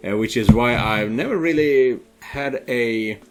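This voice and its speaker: A man's voice: mid-range voice, measured pace, over music. A man from Sweden giving casual, rambling let's play commentary, hesitating as he goes. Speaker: streamer playing and commenting on a visual novel.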